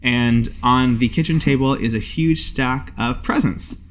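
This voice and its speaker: A man's voice: mid-range voice, unhurried. A man narrating a tabletop game as game master and podcast host.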